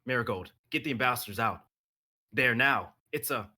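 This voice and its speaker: A grim masked ninja hero, acted out by a young man, husky and intense. He speaks in terse, vengeful bursts with a forceful edge, as in an amateur story reading. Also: mid-range voice, normal speed. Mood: urgent, commanding.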